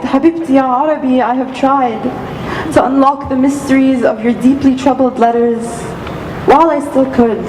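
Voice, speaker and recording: Teen woman from Egypt, native Arabic speaker, high voice, impassioned spoken-word poet; roomy stage sound. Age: teens. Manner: dramatic, rhythmic, impassioned delivery with repetition. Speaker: student speaker. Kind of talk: spoken-word poetry performance. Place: auditorium stage. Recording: echoey hall with background noise.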